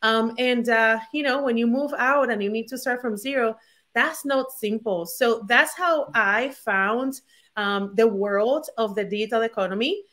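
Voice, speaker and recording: Guest: Puerto Rican woman, 40s, higher voice, energetic and candid, talking from home. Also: unhurried. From Puerto Rico. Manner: energetic, candid, frequent fillers and restarts. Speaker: guest, former attorney. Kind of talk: casual interview from home. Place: her home.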